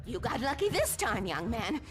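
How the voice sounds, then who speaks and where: A woman's voice: fairly high pitch, big pitch swings, over music. A woman playing an eerie, scolding old lady in a video game, giving ominous warnings. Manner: slow, ominous.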